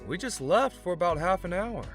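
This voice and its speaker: A hot-headed young hero, played by a man in an audio drama. His voice sits high for a man and swings widely, with faint music behind. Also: lively intonation, normal speed, a bit bright. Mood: sheepish, casual. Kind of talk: fan audio drama acting.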